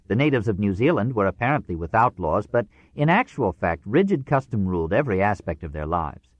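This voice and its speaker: A man narrating a nonfiction audiobook in a measured, formal way with precise phrasing. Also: lowish voice, big pitch swings, no echo, dull audio.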